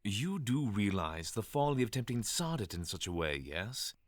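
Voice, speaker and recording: A man's voice: fairly low pitch, big pitch swings, moderate pace, dry recording. A man playing a sensible half-dragon companion in an audio drama, speaking formally, precisely and reassuringly.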